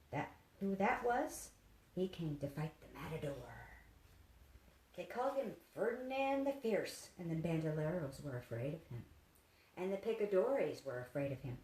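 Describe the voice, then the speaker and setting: Female storyteller for young kids, expressive read-aloud delivery, mid-pitched, recorded in an echoey room with faint noise. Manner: simple, animated storytelling addressed to young children. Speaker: therapy dog handler and volunteer reader.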